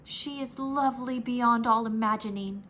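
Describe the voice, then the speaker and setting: A woman voicing a small, gentle male mouse who is earnest and lovestruck, speaking softly. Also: a little high, normal speed, a little hiss or hum, close to an everyday voice.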